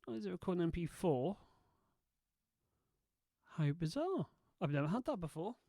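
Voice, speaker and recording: Guy chatting thru a software test, kinda high voice, muttering to himself, dead dry sound. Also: sing-song, moderate pace, creaky, no room sound. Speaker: video host testing software. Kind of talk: casual thinking aloud while troubleshooting.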